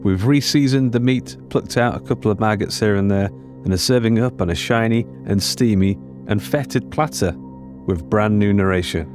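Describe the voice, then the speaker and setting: A man introduces a podcast episode from a script. His voice is fairly low, and music plays clearly behind him. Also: normal speed, a little mellow. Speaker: podcast host. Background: prominent music.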